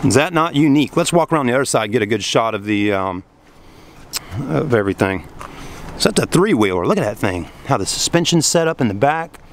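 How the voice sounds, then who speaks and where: A man's voice: medium pitch, sing-song, fairly quick, a little hiss or hum. A man in his thirties giving a casual, enthusiastic walkaround of a car, camera held close. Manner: enthusiastic, rambling, lots of fillers. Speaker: car dealership vlogger. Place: outdoors in a parking lot and an open car.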